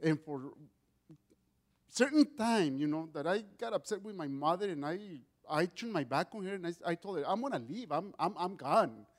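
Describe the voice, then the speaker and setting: A middle-aged man with a Mexican accent, Spanish his first language, testifying in church. His voice sits high for a man and carries loudly. He speaks earnestly and often restarts his sentences. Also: lively intonation, normal speed. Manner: loud voice, earnest with many restarts. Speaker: guest speaker giving testimony.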